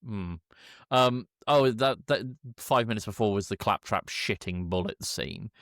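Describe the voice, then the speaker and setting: A man co-hosting a podcast, giving a casual comedic film recap in a halting, irreverent way with mock-quoted dialogue. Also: on the low side, creaky, a bit bright, no room sound, clean studio sound. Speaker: podcast co-host.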